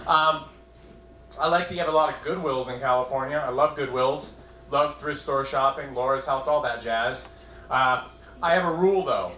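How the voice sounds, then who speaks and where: A man's voice: mid-range voice, moderate pace, bright, forceful tone, slight background noise. A man in his twenties from New England with a New England accent, doing a wry, self-deprecating stand-up set on a comedy club stage. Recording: echoey club room.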